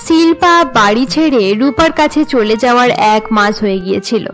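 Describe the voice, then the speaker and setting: A woman with a high-pitched voice narrates a cartoon tale. Her delivery is scripted storytelling, set over faint music. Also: normal speed, fairly bright tone.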